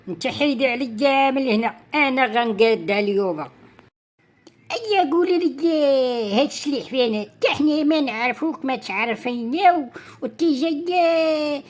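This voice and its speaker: A woman playing a fierce older mother protecting her married daughter in a comic cartoon, scolding with a Moroccan accent. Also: sits high. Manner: scolding, threatening. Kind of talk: comic cartoon dialogue in dialect.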